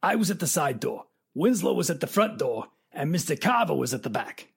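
A man's voice: fairly high pitch, big pitch swings, brisk, creaky, breathy edge, heavily acted. A man playing a defensive, menacing private detective in an old-time radio crime drama.

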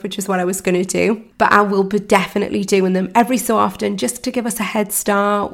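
A woman hosts her podcast with a croaky, hoarse voice from laryngitis. There's a gravelly roughness running under her mid-pitched talk. She chats casually, filling pauses with ums and restarting sentences. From United Kingdom. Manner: warm chatty, many ums and restarts. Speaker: podcast host and mindset coach. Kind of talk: casual solo podcast chat.

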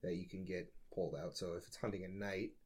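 A man's voice: deep voice, little pitch movement, quick pace, darkish tone, breathy edge. A man co-hosting a podcast in a casual, conversational chat. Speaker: podcast co-host.

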